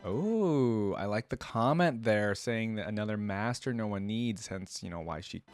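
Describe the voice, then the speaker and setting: A man's voice: on the low side, expressive, unhurried, soft background music. A man giving casual reaction commentary, musing aloud with curiosity as host.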